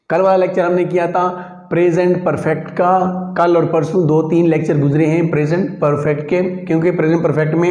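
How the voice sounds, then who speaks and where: Higher-voiced Pakistani man teaching, echoey room. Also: a little mellow. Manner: methodical, repetitive step-by-step explaining. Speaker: English grammar teacher. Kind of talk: lecture to online students.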